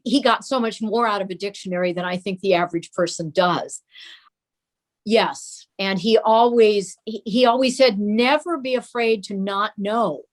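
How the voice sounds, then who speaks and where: An older woman being interviewed, telling anecdotes and sharing family memories. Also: medium pitch. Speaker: interview guest sharing memories of her father. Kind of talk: reminiscing, anecdotal storytelling.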